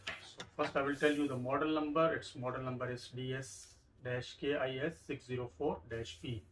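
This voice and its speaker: A man's voice: medium pitch, fairly even intonation, moderate pace, fairly bright tone. A man with a mid-range voice presents a product unboxing as a review host. He explains each item step by step in short, simple phrases. The recording has some noise behind his voice. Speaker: tech review channel host.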